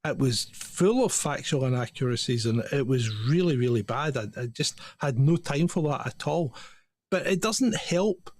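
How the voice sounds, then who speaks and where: A man's voice: medium pitch, animated, moderate pace, darkish tone, dry recording. A man co-hosting a casual podcast, talking emphatically and laughing often. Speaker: podcast co-host. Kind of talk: casual chat.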